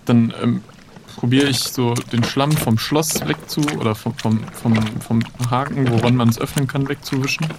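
A man's voice: mid-range voice, fairly even intonation, moderate pace, over music. A man role-playing casually as a player in an improvised adventure, speaking in a hesitant, inquisitive way.

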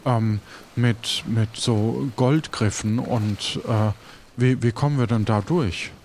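A man improvising the narration of an adventure audio play, halting as he searches for words. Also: mid-range voice, a little mellow, slight background noise. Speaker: game narrator and player.

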